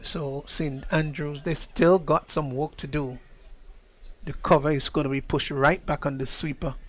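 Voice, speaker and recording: A man from the Caribbean commentating a live cricket match in a slightly higher-than-average voice. He talks in a chatty, measured way and his voice leaps up when a play gets tense. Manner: chatty, measured, rises sharply on exciting plays. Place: commentary position outdoors at a cricket ground.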